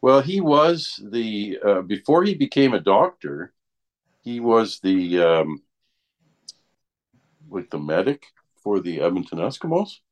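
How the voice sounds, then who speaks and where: Older man, deep voice, casual halting chat. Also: sing-song. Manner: halting, often ends phrases with "right?".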